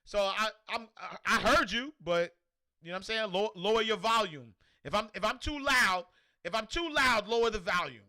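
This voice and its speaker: A man with a New York accent hosting a livestream, loudly and energetically answering viewer comments in casual chat. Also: sits high, moderate pace. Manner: loud and energetic, reads comments aloud. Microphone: desk microphone.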